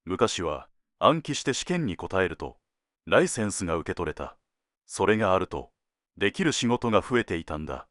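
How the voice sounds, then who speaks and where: Senior male detective, played by an actor: deep, animated pitch, laconic dry humor, crime drama, close dry sound. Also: no room sound. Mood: dry, teasing. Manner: laconic, dry joking, deliberate phrasing. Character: senior male detective, wry, teasing, world-weary. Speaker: police detective at headquarters. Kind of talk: scripted detective audio drama.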